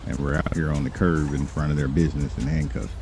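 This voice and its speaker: Man playing a very deep-voiced, composed defense lawyer. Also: fairly even intonation. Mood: firm questioning. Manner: formal, measured, challenging, hesitant with pauses. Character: sharp, composed male criminal defense lawyer. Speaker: role-playing gamer. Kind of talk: improvised online game roleplay. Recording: music behind the voice.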